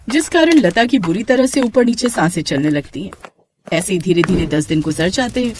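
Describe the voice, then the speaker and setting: A woman's voice: mid-range voice, a little mellow, slight background noise. A woman narrating a scripted moral tale as a storyteller.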